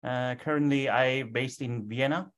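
A man in his thirties with a Korean accent, introducing himself in halting English on a video call. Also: medium pitch, fairly even intonation. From South Korea. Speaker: opera singer, competition finalist. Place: indoor room on a video call.

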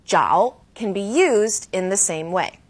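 A woman hosting a language lesson with scripted, didactic delivery, slowing down to model key phrases. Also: medium pitch, animated. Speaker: host and language teacher. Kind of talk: scripted educational presenting.

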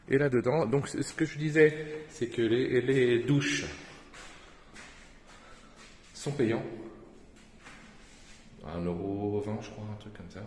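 A man's voice: medium pitch, slight background noise. A middle-aged man giving casual, chatty, appreciative commentary as he films a handheld vlog. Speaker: camper van vlogger. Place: outdoors, washrooms and inside a camper van.

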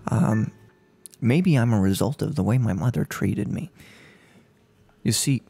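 A man in his twenties playing a soft-spoken, unhinged serial killer in a menacing, mock-polite ASMR role play, close to the microphone. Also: lowish voice, vocal fry, breathy edge, no echo. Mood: mock-polite, unsettling. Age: 20s. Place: room at home. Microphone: close desk microphone on boom arm.

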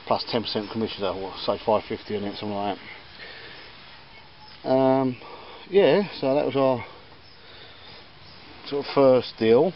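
A man casually chatting while showing his auction finds, rambling with lots of ums and restarts as he films outdoors. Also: medium pitch. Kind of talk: casual chat. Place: outdoors in a garden and workshop. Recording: slightly dull camera audio with faint background noise. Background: faint outdoor ambience and church bells.

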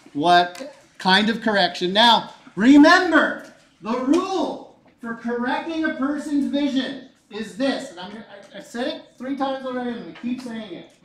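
A man in his forties teaching a physics class, lively and joking, repeating his key points. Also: very high-pitched. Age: 40s. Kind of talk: classroom lecture. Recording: echoey room, mic far off.

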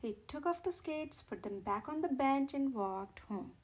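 A woman reading a children's picture book aloud as a storyteller, with measured, expressive phrasing for young listeners. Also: high-pitched.

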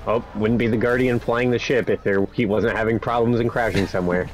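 A man's voice: lowish voice, steady tone, brisk, a little hiss or hum. A man chatting casually as a fellow gamer over voice chat, reacting to what is on screen. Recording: dull voice chat audio, music behind. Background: game cutscene music.

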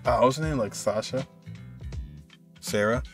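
A man's voice: mid-range voice, moderate pace. A man in his twenties giving casual, musing reaction commentary as he watches a game. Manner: musing, conversational. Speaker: gamer reacting to cutscenes. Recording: game music faintly behind.

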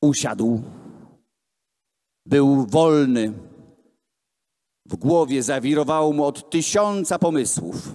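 A man in his thirties reading children's books aloud theatrically and deliberately into a handheld microphone at a live event. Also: mid-range voice, animated, a little mellow. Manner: theatrical, deliberate storytelling with voiced dialogue. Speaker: reader at a gallery event. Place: large gallery hall with hard floor, indoors. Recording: echoey hall, amplified voice filmed from the room.

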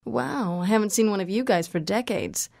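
An actress playing a cocky half-vampire huntress in a video game, with a sultry, sarcastic, flippant manner. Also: female voice, mid-range voice, expressive, moderate pace, darkish tone. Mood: cocky, mocking. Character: sardonic, cocky half-vampire woman hunter. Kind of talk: video game cutscene acting. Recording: game audio with music behind.

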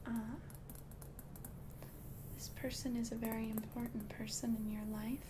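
A woman playing a gentle, helpful witch shopkeeper in a soothing, soft-spoken ASMR roleplay, hushed and close to the microphone. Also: a little high, level tone, measured pace, natural voice. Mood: gentle, attentive. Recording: clear background noise and ambience.

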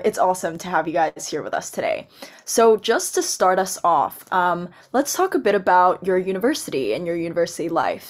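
Young woman moderating a video-call panel. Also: lowish voice. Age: young adult. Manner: friendly, organized, scripted intros. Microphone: laptop webcam on video call.

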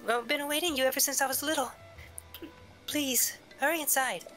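A shy young woman from a fantasy game, as a man puts her on, speaks high and brightly. She is timid and flustered, stammering and apologetic as she goes. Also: fairly even intonation, a little fast, faint music behind.

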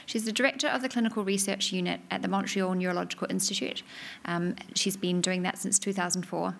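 A young woman chairs a conference session from a podium, her voice heavy with vocal fry. She reads out a speaker's introduction, restarting a word here and there. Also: medium pitch, a bit bright. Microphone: podium microphone.

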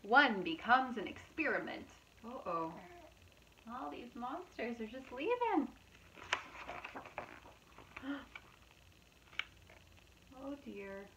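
A woman in her thirties, a preschool teacher, reads picture books aloud to young children in an expressive, animated manner with asides to her listeners. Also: a little high, extremely slow, long pauses, a little hiss or hum, low-quality recording. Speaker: preschool teacher reading storytime.

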